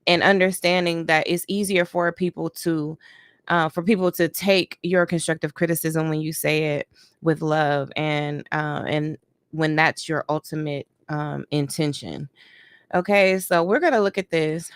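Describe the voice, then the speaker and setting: Female vlogger, low register, dry recording, rambling chatty delivery. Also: steady tone, a little slow, clean tone, no room sound. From Detroit, grew up in Germany. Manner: chatty, heavy with fillers like "you know" and "right". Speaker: reaction vlogger. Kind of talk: casual commentary to viewers. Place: home, doing a facial on camera.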